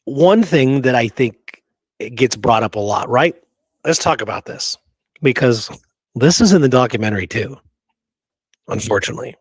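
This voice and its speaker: Male, medium-pitched, conversational co-host, emphatic, rhetorical check-ins, dry close recording. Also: lively intonation, moderate pace, long pauses, breathy edge, no echo. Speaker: podcast co-host. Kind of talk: casual conversational discussion.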